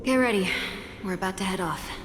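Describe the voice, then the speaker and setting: A woman's voice: mid-range voice, a little fast, natural voice. An actress voicing a cocky, upbeat woman thief who talks in a breezy, confident way. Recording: echoey game cutscene with music.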